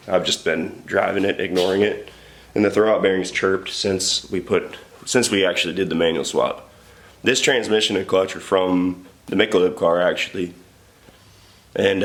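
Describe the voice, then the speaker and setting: A young man with a stuffy nose from a cold, chatting loosely and profanely about car repairs in his home garage. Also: low-pitched. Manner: loose, profane, rambling with many fillers. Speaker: car builder vlogger. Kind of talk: casual garage vlog.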